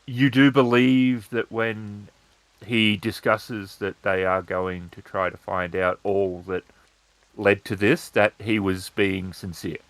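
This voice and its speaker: A man narrating a tabletop roleplaying game as game master, in a halting, measured way. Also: fairly low pitch, steady tone, fairly bright tone, dry recording, poor audio.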